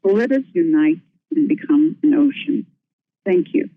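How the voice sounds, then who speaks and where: A woman's voice: medium pitch, big pitch swings, normal speed, vocal fry, no room sound. A woman giving a prepared presentation as a nursing home administrator, earnest and careful, with small restarts. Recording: tinny phone-line audio.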